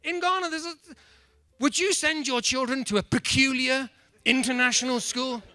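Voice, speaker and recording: A middle-aged man with an English accent giving a playful, animated comedy talk to a room on a handheld mic. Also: very high-pitched, normal speed, no echo. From England. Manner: animated, playful, mock-apologetic. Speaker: speaker. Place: conference room.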